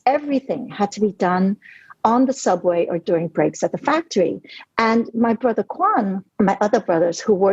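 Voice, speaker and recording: A woman's voice: medium pitch, a little mellow, slightly rough, no room sound. A woman in her forties, born in Hong Kong and raised in New York City, a novelist telling her story in an earnest, reflective podcast interview, often saying "you know". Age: 40s. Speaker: novelist guest. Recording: dry, dull lo-fi audio.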